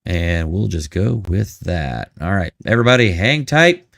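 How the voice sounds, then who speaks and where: A man's voice: deep voice, very animated, unhurried, gravelly, fairly bright tone, dry recording, clean studio sound. A man hosting a live stream with casual, joking commentary and asides to his chat.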